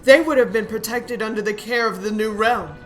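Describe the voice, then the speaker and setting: A woman playing a smug, calculating dragon queen in an animated fantasy, speaking in a theatrical, mock-polite way. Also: fairly high pitch, a little fast, faint music behind, natural voice. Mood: cold, menacing. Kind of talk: animated fantasy drama acting.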